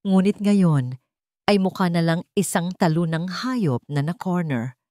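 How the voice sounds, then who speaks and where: A woman's voice: on the low side, lively intonation, unhurried, mellow, no echo. A woman reading a scripted romance drama as a storyteller, with dramatic narration.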